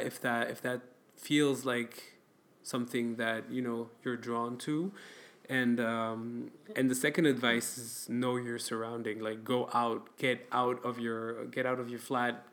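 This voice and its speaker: A young man from France talks slowly in a relaxed podcast chat. His voice sits at an ordinary middle pitch. He hesitates often, with fillers and restarts as he gives advice. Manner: halting, many fillers and restarts. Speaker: podcast co-host. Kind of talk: casual chat.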